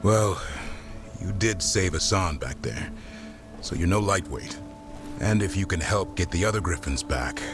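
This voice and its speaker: Game role: low, expressive, wry warden, with a score behind. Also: male voice, measured pace, music in the background. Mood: dry. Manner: dry, wry, commanding. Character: gruff male warden and griffin keeper. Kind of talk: video game cutscene acting. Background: orchestral score.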